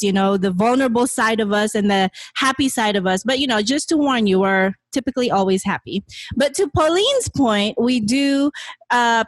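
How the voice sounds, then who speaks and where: A woman in her thirties hosts a casual podcast chat from a friend's bedroom, her voice pitched fairly high. She talks chattily with frequent "you know" fillers. The sound is close and dry. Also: no echo. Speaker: podcast host.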